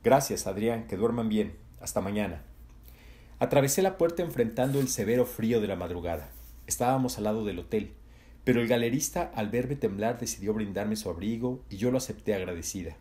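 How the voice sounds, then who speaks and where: Dark-voiced man reading a novel. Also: lowish voice, animated, fairly quick. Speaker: audiobook reader. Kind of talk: scripted narration.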